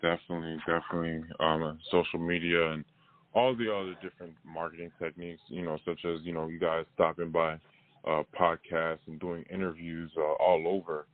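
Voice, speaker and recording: A deep-voiced man hosts a casual interview over thin, phone-like audio. His delivery is halting and hesitant, with words often repeated. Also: little pitch movement. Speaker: interviewer and host. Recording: dull phone-like call audio.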